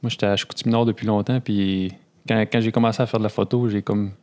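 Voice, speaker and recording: A man in his thirties with a Saguenay Quebec French accent, hosting a podcast in a relaxed, halting chat full of fillers. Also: fairly low pitch. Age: 30s. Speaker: podcast host. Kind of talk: casual interview chat.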